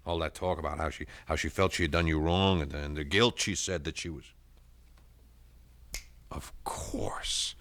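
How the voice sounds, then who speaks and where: A man's voice: rumbling low, fairly even intonation, moderate pace, pauses often, a bit bright. An actor playing a suspicious, scheming man out on bail in a 1970s radio drama, talking with agitated stammers.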